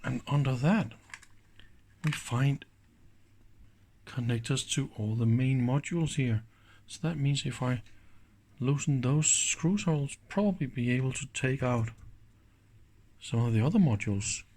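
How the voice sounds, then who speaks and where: Slow-paced man thinking aloud. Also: medium pitch, darkish tone. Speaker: host of an electronics teardown video. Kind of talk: casual hands-on commentary. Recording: clicks and faint background noise.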